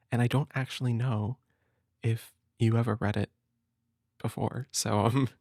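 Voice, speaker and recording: A man speaking gently and hesitantly in an intimate comfort roleplay, as a big brother reading a storybook. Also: fairly low pitch, vocal fry, no echo, studio quality. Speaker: audio roleplay performer as a caring big brother.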